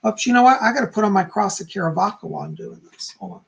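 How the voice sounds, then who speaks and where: A calm, soft-spoken man who is hoarse and losing his voice, teaching on a livestream and reading aloud from a book. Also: sits high, rapid-fire. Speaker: psychic teaching about folk magic.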